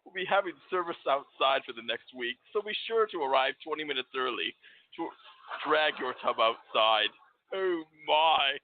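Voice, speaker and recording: A man's voice: medium pitch, lively intonation, normal speed. A male caller reading a mock announcement on a call-in show. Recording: tinny phone line.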